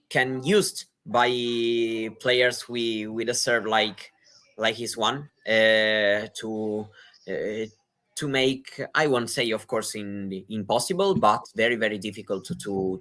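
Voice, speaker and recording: Slow, halting man analysing tennis. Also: on the low side, steady tone, fairly bright tone. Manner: hesitant, many fillers and restarts. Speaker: tennis co-commentator.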